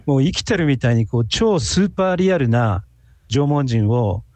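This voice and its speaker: A man's voice: mid-range voice, animated, moderate pace, darkish tone, no room sound. A man speaking as a guest researcher, giving conversational answers in an interview.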